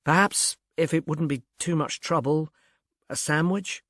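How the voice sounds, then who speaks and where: Guy doing a polite urbane police inspector in a novel, measured and dry, close clean room sound. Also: a little high, fairly bright tone, no echo, voice pushed up. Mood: polite, businesslike.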